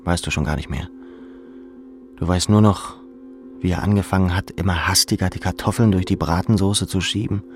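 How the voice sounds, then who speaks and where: A man's voice: low-pitched, a little mellow. A man reading second-person literary narration as an audiobook narrator.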